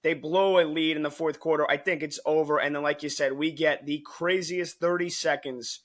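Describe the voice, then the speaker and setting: Hoarse, excited male sports co-host. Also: a little high, normal speed. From United States. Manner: excited, emphatic, restarts often. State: voice worn from shouting. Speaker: podcast co-host. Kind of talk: sports talk podcast.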